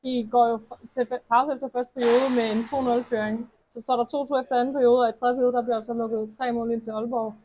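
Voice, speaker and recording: A woman interviewing a player after a match for a club's TV channel, asking measured, deliberate questions. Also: on the high side, level tone, dark tone, low-quality recording. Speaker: club TV interviewer.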